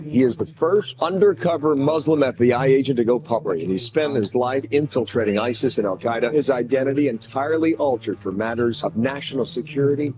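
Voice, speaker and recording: Male promo announcer with a mid-range voice and an emphatic, dramatic read, over a clear guitar music bed. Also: medium pitch, a little fast, hardly any pauses, rough recording.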